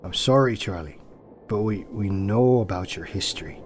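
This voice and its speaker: A man's voice: on the low side, normal speed, lightly acted. A man playing a nervous, placating small-town police colleague in an audio drama, speaking in a hesitant stammer. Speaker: voice actor. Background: music.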